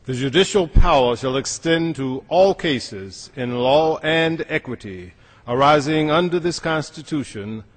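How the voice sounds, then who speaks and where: A man's voice: a little high, lively intonation, a little slow. A man reads a legal text aloud in a formal style, as a legislator. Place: large indoor chamber. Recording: reverberant large legislative chamber.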